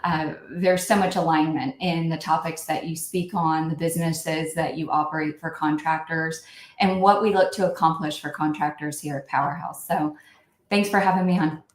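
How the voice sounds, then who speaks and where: A woman's voice: deep voice, fairly even intonation, moderate pace, reverberant. A middle-aged woman giving conversational answers as a podcast guest on a remote call. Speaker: podcast guest, software consulting executive. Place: remote call, animals around.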